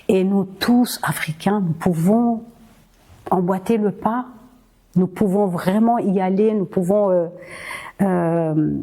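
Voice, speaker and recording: Slow, husky-voiced Rwandan-born woman. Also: medium pitch, warm tone. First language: Kinyarwanda. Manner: earnest, rallying, with frequent hesitations and repetitions. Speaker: podcast host. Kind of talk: impassioned podcast monologue.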